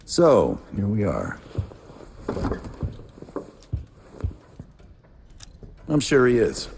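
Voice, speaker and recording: An actor playing a weary, hardened veteran CIA operative in a video game, gruff and guarded, with a husky, gravelly voice and a terse, matter-of-fact delivery. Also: male voice, rumbling low, darkish tone, heavily acted. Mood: grave, measured. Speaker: intelligence operative character. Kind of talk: cinematic video game dialogue.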